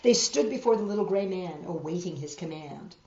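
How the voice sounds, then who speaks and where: Animated woman reading to children, roomy sound. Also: fairly low pitch, noisy background. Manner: expressive, dramatic, pausing to show pictures. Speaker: storytime host reading a picture book. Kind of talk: animated children's story reading with asides to viewers. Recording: roomy, dull lo-fi web video.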